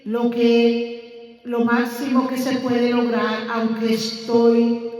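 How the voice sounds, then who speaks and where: A woman's voice: a little high, completely flat delivery, slow pace. An older woman from Guatemala, earnestly pleading as she preaches an evangelistic sermon and shares her testimony. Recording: echoey hall from far off with soft music.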